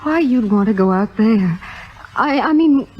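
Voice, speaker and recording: An actress playing a demure, eager young theater fan in a 1950s Hollywood radio drama, polite and hesitant. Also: female voice, fairly high pitch, expressive, a little slow, highs cut off. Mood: meek, curious. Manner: polite, hesitant, self-effacing. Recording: vintage radio recording, music behind.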